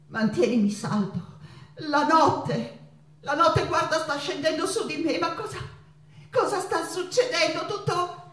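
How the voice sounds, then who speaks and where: An actress playing an ailing, feverish woman painter who pleads with a friend in halting, theatrical phrases in a stage monologue. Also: sits high, a bit bright. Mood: weary, suffering, then agitated, delirious. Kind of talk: stage monologue over guitar. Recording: roomy stage, distant mic, guitar behind.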